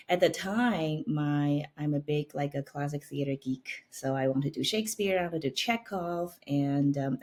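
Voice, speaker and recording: A woman in her late thirties from China, a guest on an interview podcast, telling her story in an animated way with many fillers and restarts. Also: low-pitched. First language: Chinese. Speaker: guest, opera stage director. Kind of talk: conversational interview.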